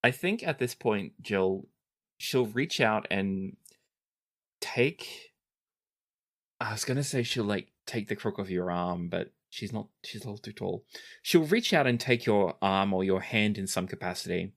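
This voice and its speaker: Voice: sits low, animated, unhurried. A tabletop roleplayer improvising narration and dialogue, hesitant and thinking aloud. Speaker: player or game master in a tabletop roleplaying game.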